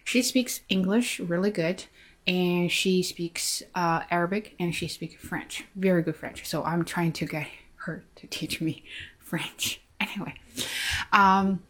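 A woman from China with a Chinese accent, an English teacher talking through personal thoughts in a soft, hesitant way with many fillers and restarts. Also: lowish voice, slow pace. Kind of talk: reflective personal monologue.

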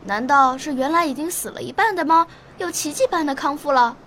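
A bright, high-voiced young schoolgirl. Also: a little fast, a little hiss or hum. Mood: curious, earnest. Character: young schoolgirl Lingling, curious, bookish, later grieving. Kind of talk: Chinese radio drama dialogue and narration.

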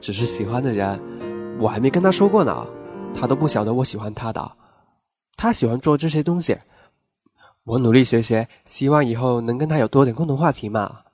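A man's voice: mid-range voice, expressive, brisk, darkish tone, breathy edge, soft background music. A man playing a playful, lovestruck young male customer in an audio drama, with an eager, coaxing manner. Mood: discouraged, bashful. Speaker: voice actor in an amateur radio drama. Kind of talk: Chinese audio drama acting.

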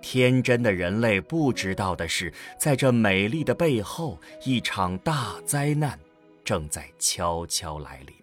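A man's voice: lowish voice, big pitch swings, measured pace. A man gives dramatic scripted narration for a science fiction audio drama, in a storytelling style. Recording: background music under the voice. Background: music.

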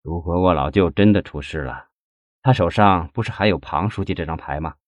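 Man playing troubled fugitive husband, fairly low voice, heavy earnest delivery, commanding, dry audiobook recording. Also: fairly quick, no room sound, lo-fi sound. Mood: anxious. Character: troubled, anxious husband on the run, decisive. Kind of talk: audiobook character dialogue.

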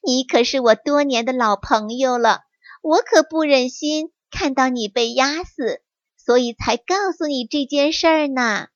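A woman's voice: high-pitched, a little slow, dry recording, dull audio. A woman voicing a sly, scheming male fox in a children's story, coaxing and falsely casual.

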